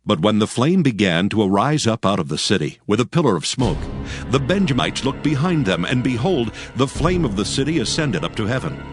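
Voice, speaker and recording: A man reading scripture as the narrator of a dramatized audio Bible, solemn and measured. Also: medium pitch, sing-song. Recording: prominent background music. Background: music.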